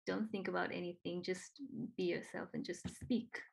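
A woman's voice: lowish voice, fairly even intonation, moderate pace, vocal fry, breathy edge, poor audio. A woman from Nepal who speaks English with a Nepali accent, talking earnestly and encouragingly with frequent ums and repeated phrases. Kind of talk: casual conversation.

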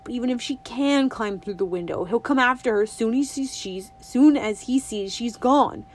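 A woman voicing an eager young country boy who talks fast in a hushed, urgent, rustic drawl in an audiobook. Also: fairly high pitch, close to an everyday voice. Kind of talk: audiobook reading.

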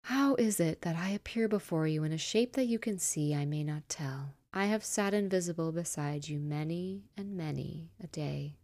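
A woman reading aloud, voicing a tormented male ghost in chains who speaks slowly and often sinks to a breathy whisper. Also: on the low side, darkish tone, no echo. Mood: mournful. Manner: slow, dragging, breathy whispering delivery.

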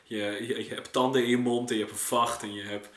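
A man's voice: medium pitch, little pitch movement, fast talker. A man in casual conversation, asking and reflecting, feeling his way hesitantly toward the right words. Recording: echoey room with clear crowd sound.

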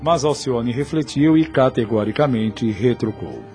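A man serves as the narrator of a radio serial. His voice sits at a medium pitch over soft music, telling the story in formal, literary sentences. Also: measured pace. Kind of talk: scripted radio drama narration. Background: music under the voice.

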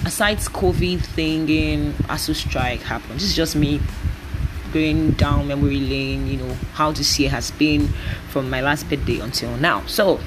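A woman's voice: low voice, unhurried, very noisy. Chatty young Nigerian woman podcaster. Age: 20s. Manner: chatty, rambling, often laughing. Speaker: podcast host. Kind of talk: casual solo podcast chat.

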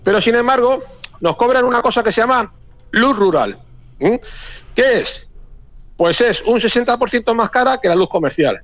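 A man in his forties from Madrid with a Castilian Spanish accent, a hotel owner giving a chatty, halting radio interview full of repeated words. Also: extremely high pitch, lively intonation, a bit bright, dry recording. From Madrid, Spain. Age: 40s. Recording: dull lo-fi radio audio.

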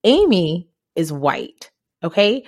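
A woman hosts a conversational podcast in a voice pitched a little lower than most. She tells stories casually, leaning on her points with emphasis and talking directly to the listener. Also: big pitch swings, slow pace, darkish tone. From Ghana. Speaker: podcast host.

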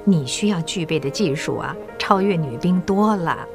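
An actress playing a middle-aged female school principal who secretly recruits agents, speaking in a measured, coaxing and kindly authoritative way in an audio drama. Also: on the low side, animated, over music. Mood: encouraging. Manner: measured, coaxing, elder's patient tone.

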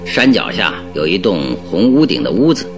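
Very deep-voiced, commanding detective. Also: male voice, very animated, music in the background. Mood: firm, instructing. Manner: deliberate, instructing, emphatic. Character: shrewd, commanding male detective, calm and exacting. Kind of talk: Mandarin radio drama acting.

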